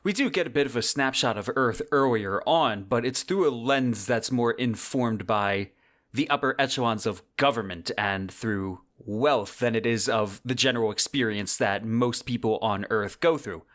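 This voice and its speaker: A man's voice: mid-range voice, moderate pace, fairly bright tone. A man hosting a podcast, delivering a semi-scripted commentary monologue in a conversational, wordy way with emphatic phrasing. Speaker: podcast host.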